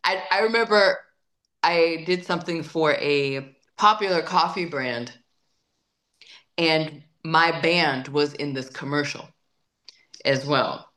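A woman's voice: low-pitched, slow pace, fairly bright tone. A woman singer-songwriter being interviewed, talking in a chatty, emphatic way and often repeating words while she thinks. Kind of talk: casual interview conversation.

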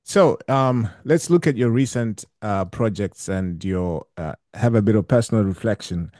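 Mellow, deep-voiced male interviewer. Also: no room sound, clean studio sound. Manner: calm, short affirmations, measured questions. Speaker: interview host.

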